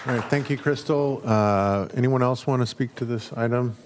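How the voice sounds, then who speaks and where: A man chairing a public commission meeting in a relaxed, procedural way. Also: mid-range voice, normal speed, over a noisy crowd, very noisy. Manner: relaxed and procedural, uses fillers.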